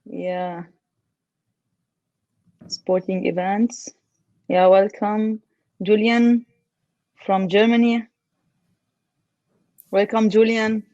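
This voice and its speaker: A woman's voice: mid-range voice, flat delivery. A woman co-hosting an online workshop over a video call, reading out chat messages and greeting participants. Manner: warm greetings, unhurried. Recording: muffled video call audio.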